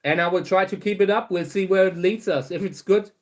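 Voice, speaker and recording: High-voiced man chatting on a stream. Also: fairly even intonation. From Germany. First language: German. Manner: rambling and unhurried, often restarting words. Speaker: host of a livestream channel. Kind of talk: casual livestream chat, answering viewers.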